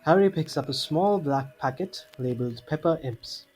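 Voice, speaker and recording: A man's voice: fairly high pitch, animated, normal speed, darkish tone, soft background music. A man reading scripted story narration for an audio drama.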